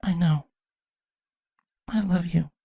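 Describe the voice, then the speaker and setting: A man voicing a young pony princess, a devoted, teasing daughter, in a soft voice for a storybook reading. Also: high voice, fairly even intonation, slow pace, creaky, slightly rough, no room sound, studio quality, pitched above the performer's own voice, heavily acted. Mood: loving.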